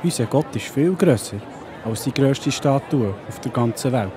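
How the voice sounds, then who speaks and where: A man's voice: mid-range voice, animated, moderate pace, a crowd in the background, background noise, natural voice. An actor playing a stubborn, fearless, devout young man in a children's audio play, firm and defiant. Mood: proud.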